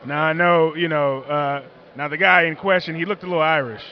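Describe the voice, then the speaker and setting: Chatty man interviewer, slightly higher voice. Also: fairly even intonation, fairly quick, highs cut off. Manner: chatty, joking, rambling questions. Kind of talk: casual outdoor interview.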